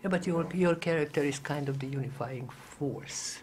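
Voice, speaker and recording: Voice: mid-range voice, moderate pace, slight background noise. Earnest, wordy interviewer asking questions. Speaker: entertainment interviewer. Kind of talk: press junket interview questions.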